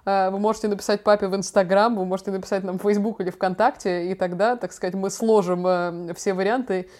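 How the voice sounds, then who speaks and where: A woman's voice: mid-range voice, fast. A young woman co-hosting a casual podcast, chatty and slangy as she tells stories. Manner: chatty, slangy, animated storytelling. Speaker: podcast co-host, daughter talking with her father.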